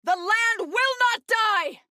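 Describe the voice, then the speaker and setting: Intense, bright-voiced young warrior woman. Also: extremely high pitch, takes it slowly, dry recording, studio quality, heavily acted. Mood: urgent, fierce battle cries. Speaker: video game voice actor. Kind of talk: scripted game combat barks and companion lines.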